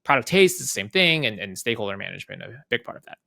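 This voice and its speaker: A man speaking as an interview guest, explaining casually in halting phrases with repeated words and frequent checking tags. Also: on the low side, sing-song, gravelly, sharp tone, no room sound, clean studio sound. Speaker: interview guest, head of product at a software company.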